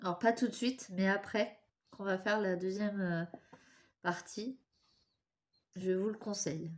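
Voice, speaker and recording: A woman's voice: mid-range voice, fairly even intonation, a little slow. Chatty woman with a stuffy nose. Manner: chatty, apologetic, talks while working with her hands. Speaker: crafts tutorial host. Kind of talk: casual step-by-step craft tutorial.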